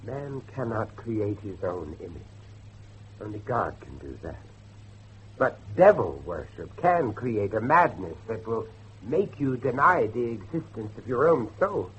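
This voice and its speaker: An actor playing a grave, grieving father who believes in devilry, speaking emphatically with dramatic pauses in a horror drama. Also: on the low side, very animated, a bit bright, breathy edge, highs cut off, heavily acted. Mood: grave, brooding. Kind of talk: dramatic horror dialogue acting.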